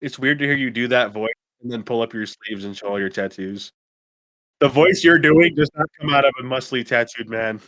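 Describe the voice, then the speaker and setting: A man's voice: mid-range voice, fairly quick, a bit bright, no echo, low-quality recording. A man in casual conversation as a podcast co-host. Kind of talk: casual chat.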